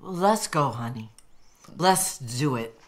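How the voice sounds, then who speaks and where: An actress playing a kindly, tipsy American woman from Montana, speaking in a languid, halting, slurred way in a radio drama. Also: a bass voice, expressive, exaggerated voice. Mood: tipsy, tender.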